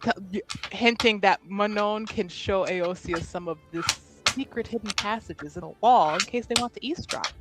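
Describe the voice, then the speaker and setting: A game master narrating a tabletop roleplaying game in a casual, halting, informal way. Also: medium pitch. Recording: music playing behind the voice.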